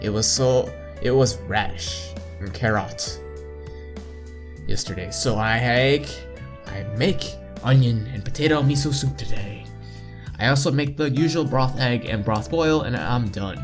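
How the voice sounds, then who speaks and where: A young man with a mid-pitched voice narrates a visual novel playthrough over soft background music. He reads the text informally, trips over words and corrects himself, and drops in casual asides. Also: a little slow. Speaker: playthrough host. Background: game music.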